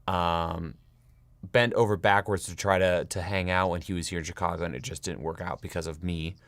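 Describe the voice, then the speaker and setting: Casting: low-voiced male co-host, dry sound, halting easy chat. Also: fairly even intonation, a bit bright, no echo. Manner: halting, many fillers and restarts. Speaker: podcast co-host. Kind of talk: casual chat.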